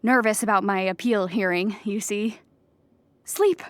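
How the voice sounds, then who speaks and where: An actress playing an anxious, earnest, depressed clown in a theatrical audio drama monologue, explaining with dramatic emphasis. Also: female voice, mid-range voice, unhurried, dry recording, lightly acted. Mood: nervous.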